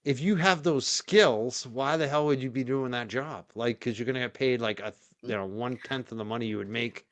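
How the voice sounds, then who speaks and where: A man's voice: medium pitch, a little fast, hardly any pauses. Rambling, easygoing male stream host. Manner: rambling, filler-heavy, laughs easily. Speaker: livestream host. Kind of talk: casual chat.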